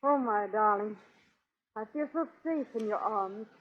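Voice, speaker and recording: An actress playing a spirited, devoted young heroine in melodramatic 1930s radio serial style. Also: female voice, medium pitch, a little slow, slight background noise, close to an everyday voice. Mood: tender, adoring. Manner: melodramatic, breathless exclaiming. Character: spirited young Earth woman, the hero's frightened, devoted sweetheart. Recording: tinny band-limited 1930s radio recording.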